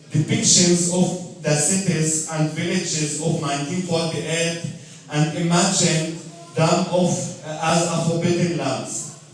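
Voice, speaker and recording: Slow, earnest man in an echoey room. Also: high voice. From Lebanon. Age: 30s. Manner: slow, earnest and reflective, with restarts. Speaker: storyteller. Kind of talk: personal story read aloud. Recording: very echoey room, voice far from mic.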